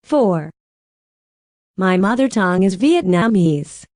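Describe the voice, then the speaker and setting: A woman reads numbered practice sentences for an English textbook very slowly and deliberately. Her voice sits at a middle pitch. The recording is close and dry. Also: expressive, a little mellow, dry recording. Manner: very slow, deliberate sentence dictation. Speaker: audio narrator for an English textbook. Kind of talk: scripted textbook listening narration.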